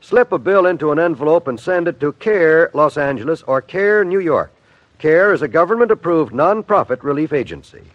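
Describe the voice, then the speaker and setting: A man's voice: on the high side, lively intonation, moderate pace, dry recording. A man announcing a scripted public service appeal in earnest, formal 1950s radio style, on a dry, dull vintage recording.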